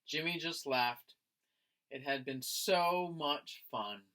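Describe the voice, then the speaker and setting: A man, a teacher, reading a picture book aloud to young children in an expressive storytelling style. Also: on the high side. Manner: expressive, slow, addressing listeners as friends.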